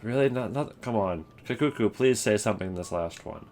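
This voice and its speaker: A man's voice: on the low side, animated, a little fast, a little mellow, slight background noise. A man giving casual, rambling commentary, thinking aloud as he goes. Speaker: video creator ranking game voice lines.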